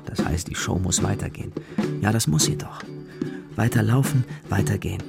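A man's voice: lowish voice, moderate pace, vocal fry, over loud music. A man narrating a children's radio play as a storyteller, musing in rhetorical questions. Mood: sympathetic. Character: storyteller following a worried girl's adventure.

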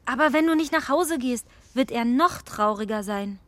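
Young fairy pupil, children's audio drama: fairly high pitch, blunt, unsure of herself, kind underneath. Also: female voice, animated, normal speed, fairly bright tone, no room sound. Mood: gently urging. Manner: self-deprecating, matter-of-fact. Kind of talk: children's radio play acting.

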